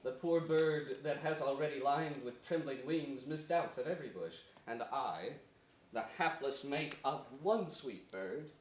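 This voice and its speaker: A man's voice: mid-range voice, a little slow, slight background noise, close to an everyday voice. A young man playing a grieving, defiant captive king in solemn, impassioned Shakespearean stage declamation. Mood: melancholy, bitter. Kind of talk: theatrical Shakespearean stage acting. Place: indoor theater stage before an audience. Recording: echoey theater, distant mic.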